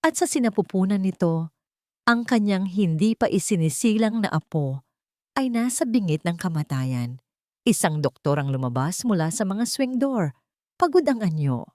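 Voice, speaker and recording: A woman narrating a scripted dramatic story as an audiobook, with solemn, deliberate phrasing. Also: medium pitch, expressive, a little mellow, dry recording, studio quality.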